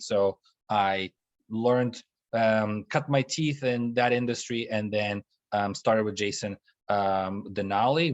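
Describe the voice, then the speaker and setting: A young man, co-founder of an investment firm, presenting in a business webinar and speaking haltingly with frequent ums and restarts. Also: lowish voice. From Russia. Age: young adult. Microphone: video call.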